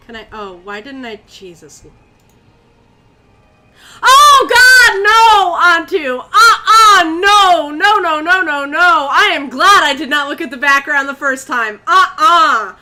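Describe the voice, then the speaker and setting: A woman's voice: high-pitched, lively intonation, measured pace, bright, forceful tone, soft background music. A young woman from Connecticut streaming a horror game, chatting with viewers, easily spooked and swearing. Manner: jumpy, easily spooked, swears and chats with chat. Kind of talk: live gameplay commentary.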